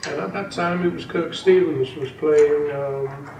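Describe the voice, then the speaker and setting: An elderly man in his eighties, a native Welsh speaker, recalls decades of snooker coaching in a slow, meandering way. The recording is distant and roomy. A steady hum of background noise sits under his voice. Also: on the high side, gravelly. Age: 80s. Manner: unhurried, rambling with many ums and restarts. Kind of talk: interview, reminiscing. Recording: echoey room, distant mic, background noise.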